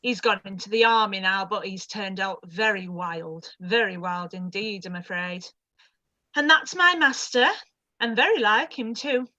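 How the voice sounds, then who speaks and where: A woman's voice: medium pitch, measured pace, muffled recording. A woman voicing a chatty, old-fashioned housekeeper who is proud of her master, in a live-read radio play. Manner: chatty, warm, old-fashioned servant speech.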